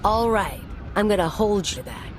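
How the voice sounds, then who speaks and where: A woman voicing a feisty, loyal crew member in a space opera game, over clear vehicle-like noise. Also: medium pitch, lively intonation, normal speed, natural voice. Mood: incredulous, reluctant. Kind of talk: video game voice acting.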